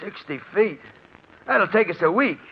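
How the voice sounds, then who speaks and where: An American man playing a nervous, excitable young crook, the junior partner in a 1940s radio drama, with an anxious, slangy way of talking. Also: high voice, measured pace, slightly rough, exaggerated voice. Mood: uneasy. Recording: muffled old radio broadcast.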